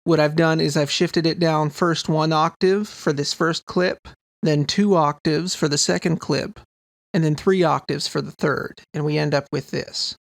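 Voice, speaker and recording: A man's voice: on the high side, steady tone, measured pace, creaky, dry recording, clean studio sound. A man giving a casual, informal tutorial voice-over, explaining gear with enthusiasm as a sound designer.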